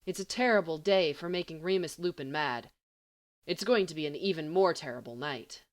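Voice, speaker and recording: A woman reading a story aloud as an expressive audiobook narrator. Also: deep voice, normal speed. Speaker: volunteer audiobook reader.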